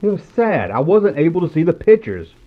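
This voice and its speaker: A man's voice: a little high, big pitch swings, a little fast. An American man in his fifties narrating nostalgically in a scripted storybook style. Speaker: YouTube show host. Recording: tinny phone-like narrow-band sound.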